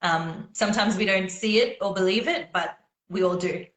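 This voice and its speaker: A woman's voice: medium pitch, moderate pace, fairly bright tone, roomy sound. A woman whose first language is Gujarati, chatting as a guest on a live video call, talking in an earnest, encouraging way and laughing often. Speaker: guest singer. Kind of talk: casual live video chat.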